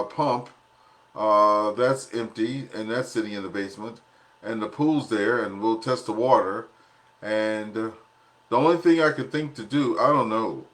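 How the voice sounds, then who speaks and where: A man chatting casually on an amateur radio net, explaining things in a rambling, folksy, plain-worded way. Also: on the low side. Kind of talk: casual chat.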